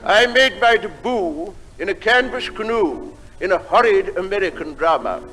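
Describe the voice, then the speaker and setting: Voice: mid-range voice, animated, normal speed, fairly bright tone. A performer delivering a comic song in a theatrical storytelling manner on a live stage. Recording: 1950s live theatre recording with music.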